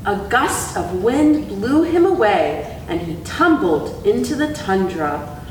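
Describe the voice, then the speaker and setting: A woman working as an educator, giving a talk and storytime reading for children with gentle, deliberate delivery. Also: sing-song. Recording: very echoey room, distant mic, faint rumble.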